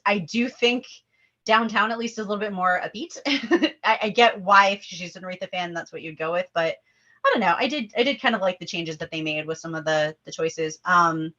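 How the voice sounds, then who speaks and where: A woman hosting a casual film discussion, talking in an animated, opinionated way with rambling asides. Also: mid-range voice, highs cut off. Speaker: host leading a table-read discussion.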